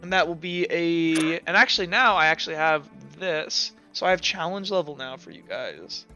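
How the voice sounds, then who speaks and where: A man runs a tabletop game, improvising descriptions in a rambling flow. His voice sits a little high with a forward, sharp edge. The sound is somewhat dull, with light music behind. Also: animated, moderate pace. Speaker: game master.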